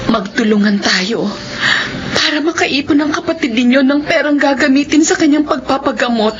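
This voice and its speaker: A woman's voice: high-pitched, moderate pace, close to an everyday voice. An actress playing a loving, determined middle-aged mother in a Filipino radio drama, earnest and emotional, with a Filipino accent. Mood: resolute, tender. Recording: dull, noisy radio drama audio.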